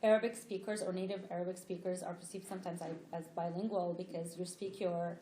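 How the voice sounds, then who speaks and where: A woman's voice: on the low side, little pitch movement, fast, dark tone. A woman from Tunisia answering on a panel in an earnest, explanatory way, often restarting her sentences. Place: lecture room. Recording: echoey lecture room, faint noise.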